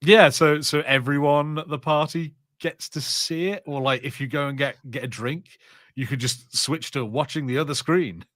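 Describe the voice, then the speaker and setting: A man talks casually as a podcast co-host in a bright, punchy voice. He rambles through anecdotes in halting starts, repeating words as he goes. The sound is very dry, with no room around it. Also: on the high side, dry recording. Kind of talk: casual chat and personal anecdotes.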